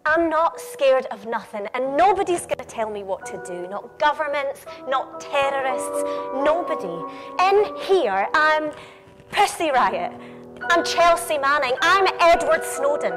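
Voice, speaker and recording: Stage casting: actress as defiant female rebel, high voice, clipped rhythmic theatrical lines, music underneath. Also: expressive, a little slow. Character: defiant anarchist rebel woman in a political stage show. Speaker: stage performer. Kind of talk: scripted theatre performance, clipped rhythmic delivery.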